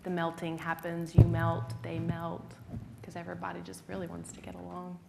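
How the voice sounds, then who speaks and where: A woman answering questions on a live panel, animated and self-described as hot-headed and fiery. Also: lowish voice, moderate pace, creaky, loud music behind the voice.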